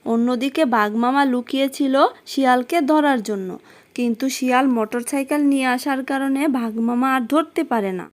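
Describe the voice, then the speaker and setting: High-pitched woman narrating a children's story. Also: normal speed.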